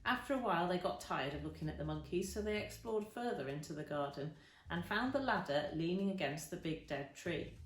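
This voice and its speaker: A woman's voice: lowish voice, lively intonation, brisk. Echoey woman reading a children's story. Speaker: storybook reader. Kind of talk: expressive children's story narration. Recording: roomy, echoing indoor sound.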